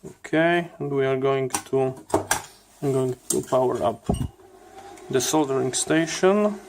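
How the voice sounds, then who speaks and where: A man giving a hands-on electronics tutorial, explaining step by step in a halting way with non-native phrasing. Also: mid-range voice, background noise. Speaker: electronics repair video host.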